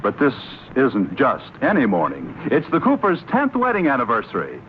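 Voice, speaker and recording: A man's voice: medium pitch, wildly swooping pitch, moderate pace, slight background noise. A man playing a cheerful, polished announcer and storyteller, narrating a scripted old radio sitcom with showmanlike phrasing. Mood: cheerful, presenting. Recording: tinny old radio recording with music.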